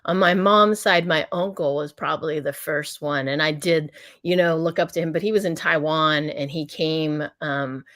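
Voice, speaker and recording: A woman's voice: sits low, moderate pace, hardly any pauses, a bit bright, no echo. Emphatic woman doctor, dry close sound. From United States. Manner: forthright and emphatic, laughs between points. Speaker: doctor and company founder, guest. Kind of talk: interview answers.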